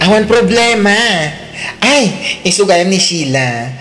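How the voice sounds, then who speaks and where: A welcoming, sociable woman coworker with an Ilocano accent in a radio drama. Her pitch is middling, with slight noise in the recording. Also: expressive, measured pace, a bit bright. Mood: cheerful. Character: friendly, welcoming coworker at a dinner.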